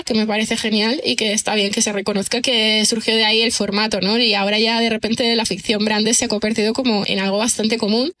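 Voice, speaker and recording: Bright-voiced woman, chatty. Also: mid-range voice. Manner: thoughtful, many fillers like "digamos" and "¿no?". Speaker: writer and screenwriter guest. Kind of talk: relaxed podcast conversation.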